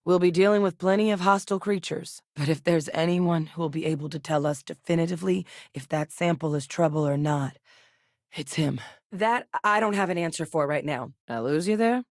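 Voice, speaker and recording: A woman voicing a composed, principled female scientist officer in a video game, speaking in a measured, earnest, direct way. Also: deep voice, a little mellow, no room sound, clean studio sound. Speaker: game companion character. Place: recording studio. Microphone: close studio mic.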